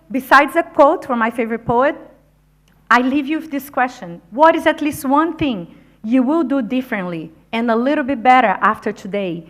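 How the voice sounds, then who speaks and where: A woman who is not a native English speaker, giving an encouraging motivational talk to a conference audience. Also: on the high side. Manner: energetic, encouraging, addresses audience directly. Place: conference venue.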